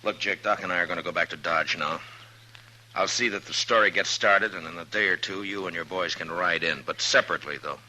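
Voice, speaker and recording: An actor playing a stern, steady American marshal of the Old West in 1950s radio western style, speaking firmly and deliberately. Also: male voice, low voice, a little hiss or hum, rough recording. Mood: planning, steady. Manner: firm, deliberate, commanding. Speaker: lead actor and first-person narrator. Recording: old radio broadcast with music.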